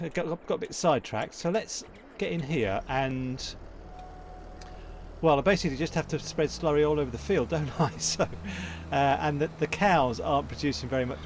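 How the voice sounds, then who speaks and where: A man with a croaky voice casually commentating a farming game, rambling and correcting himself as he plays. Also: mid-range voice, slight background noise. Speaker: gaming channel host.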